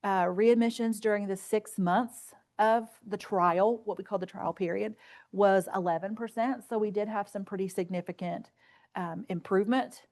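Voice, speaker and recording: Woman, mid-pitched voice, healthcare presenter, conference talk, earnest, informal, plain-spoken. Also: steady tone, moderate pace, a little mellow. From rural area. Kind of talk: conference presentation with patient stories and results.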